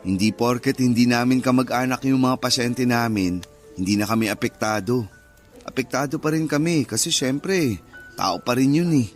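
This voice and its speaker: A man's voice: mid-range voice, moderate pace, lightly acted. A man with a Filipino accent acting as a caring, patient young husband in a radio-style romance drama. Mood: earnest. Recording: music under the voice.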